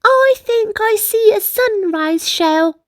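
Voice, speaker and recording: A woman acts out an eager young boy from a children's storybook. The voice is high and bouncy, swooping up and down with curiosity and excitement. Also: slow pace, no room sound, studio quality, full character voice. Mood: excited. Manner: eager, exclaiming.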